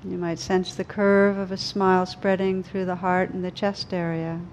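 A woman leading a guided meditation, speaking gently and soothingly in slow, unhurried phrases with pauses. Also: lowish voice, level tone, muffled recording, slight background noise. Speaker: meditation teacher.

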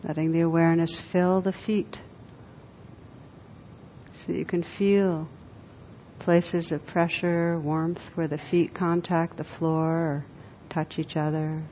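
A woman guides a meditation in a low, very even voice. She speaks gently and without hurry, setting short phrases apart with long pauses. The recording is somewhat muffled, with a rumbling noise underneath. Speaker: meditation teacher. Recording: muffled, dull audio with clear rumbling noise.

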